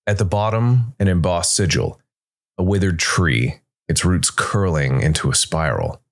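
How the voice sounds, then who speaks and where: A man's voice: low-pitched, measured pace. A man hosting a podcast and reading scripted story narration.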